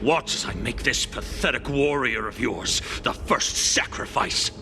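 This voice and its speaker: An actor playing an arrogant, bloodthirsty enemy warrior in a game, boastful, taunting and sneering. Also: male voice, mid-range voice, animated, measured pace, background noise. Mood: gloating threat. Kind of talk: theatrical game voice acting.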